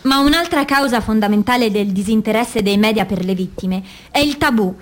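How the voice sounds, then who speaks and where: Casting: woman, fairly high voice, scripted narrator for documentary radio drama. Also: a little fast.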